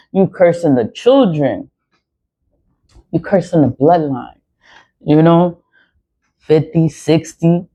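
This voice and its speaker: A woman in her forties ranting bluntly to her viewers, swearing often and hammering her points with repeated phrases. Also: mid-range voice. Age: 40s. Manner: repetitive, emphatic phrasing. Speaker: spiritual vlogger. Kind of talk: unscripted monologue to viewers. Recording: dull lo-fi recording.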